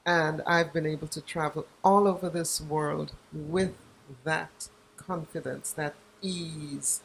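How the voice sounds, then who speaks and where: An older woman from Guyana being interviewed as an author and journalist, speaking reflectively and pausing to search for words. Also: low-pitched, steady tone, creaky, a little hiss or hum, low-quality recording.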